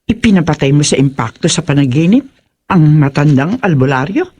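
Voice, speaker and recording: A woman's voice: low-pitched, expressive, brisk, dark tone, husky, muffled recording. An actress playing a serious, disapproving older woman in a horror audio drama, questioning and reproving. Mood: uneasy, questioning.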